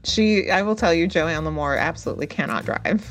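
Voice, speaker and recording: A woman's voice: lowish voice, expressive, a little fast, faint music behind. A woman playing a tabletop roleplaying game, chatting and improvising in a playful, mock-grand way. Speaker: player in actual play podcast.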